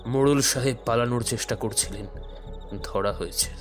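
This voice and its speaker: An actor playing a calm, enigmatic detective in an audio thriller, speaking in a measured, deliberate, brooding way. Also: male voice, medium pitch, lightly acted. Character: calm, enigmatic male detective who works in the shadows. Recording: dramatic music under the voice.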